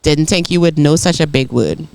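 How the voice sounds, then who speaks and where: A woman's voice: deep voice, moderate pace, vocal fry, clean tone. A young adult woman with an accent, a podcast co-host telling stories in casual chat. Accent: non-native English accent. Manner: animated, emphatic storyteller.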